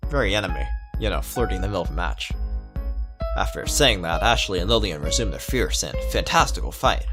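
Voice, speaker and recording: Animated reader with music behind. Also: sits low, a little fast. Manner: stumbles over words and self-corrects. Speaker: let's player reading a visual novel aloud. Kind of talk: dramatic story reading with casual commentary.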